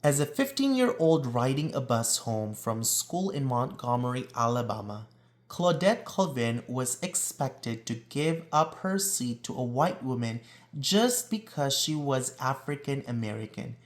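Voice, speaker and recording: Guy reading a kids picture book out loud, really lively up and down pitch, expressive storyteller style. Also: measured pace. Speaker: read-aloud presenter. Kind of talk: children's picture book read-aloud.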